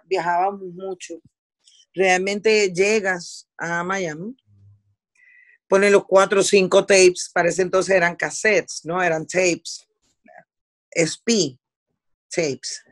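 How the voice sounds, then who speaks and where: A middle-aged Puerto Rican woman talking conversationally in an interview, repeating words for emphasis and dropping English words into her Spanish. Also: medium pitch. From Puerto Rico. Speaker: interviewee, veteran television journalist.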